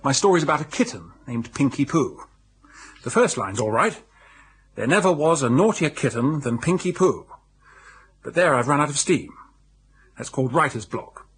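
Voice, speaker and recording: An actor playing a hard-up, lovelorn young English writer, hesitant, rueful and well-bred, in a radio drama. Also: male voice, mid-range voice, animated, normal speed, long pauses, fairly bright tone, slight background noise. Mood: sheepish. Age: young adult.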